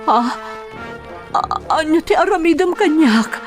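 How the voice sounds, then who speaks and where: A high-voiced, helpless captive. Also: female voice, expressive, a little slow, music in the background. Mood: frightened. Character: distressed captive young woman, pleading and helpless. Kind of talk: Ilocano radio drama acting.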